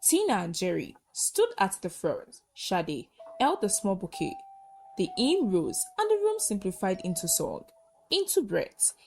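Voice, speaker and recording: A woman narrating a scripted folktale in short, measured, dramatic phrases. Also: medium pitch, wildly swooping pitch, darkish tone, soft background music. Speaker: storyteller, narrator.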